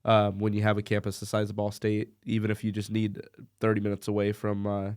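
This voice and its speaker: A man's voice: fairly low pitch, monotone, brisk, a little mellow, clean tone. A young American man, a visiting student, chatting casually on a podcast with lots of restarts and fillers. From United States. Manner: rambling, many restarts and fillers.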